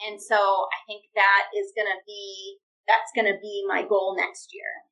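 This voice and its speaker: A woman with a bright, forceful voice talks casually on a podcast about her work at county fairs. She is from New York State and tells her stories in a lively, animated way. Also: medium pitch, a little slow, far from the mic, low-quality recording. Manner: chatty, animated storytelling with acted-out quotes. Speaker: livestock fair inspector and guest.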